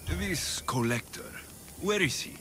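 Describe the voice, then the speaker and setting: An actor playing a tough, blunt hero with a dry, commanding manner in a video game cutscene. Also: male voice, a little high, expressive, slow, background noise. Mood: wry, curious. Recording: music under the voice.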